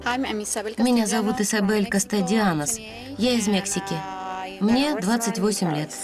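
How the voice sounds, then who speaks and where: A woman's voice: medium pitch, measured pace. Woman reading voice-over over music. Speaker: voice-over interpreter. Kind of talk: dubbed self-introductions, read evenly. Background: background music.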